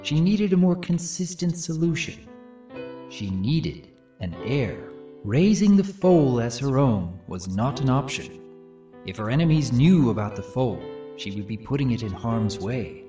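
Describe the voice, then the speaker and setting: A man's voice: mid-range voice, big pitch swings, measured pace, mellow. A man narrating and commenting from a script as host, in a theatrical storyteller's manner. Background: background music.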